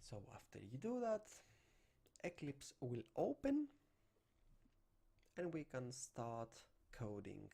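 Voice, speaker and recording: A man's voice: mid-range voice, huge pitch swings, slow pace, gravelly, pauses often, warm tone. A young man from Greece with a Greek accent, teaching a programming tutorial at a desk mic and walking through on-screen steps in non-native English. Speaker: programming instructor. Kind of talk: screen-recorded coding tutorial. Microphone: desk microphone.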